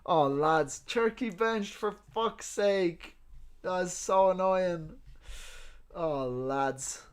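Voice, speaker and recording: A man's voice: sits high, extremely slow, clean tone. A man with a neutral Irish accent hosting a livestream, chatting informally with viewers and swearing freely as he reacts to news. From Ireland and several countries abroad. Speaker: livestream host. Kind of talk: casual livestream chat reacting to team news.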